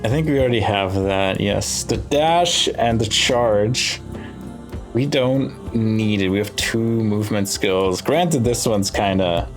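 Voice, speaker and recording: A man giving casual gameplay commentary, thinking aloud in short, musing phrases with pauses between them. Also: lowish voice. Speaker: let's play host. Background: game music.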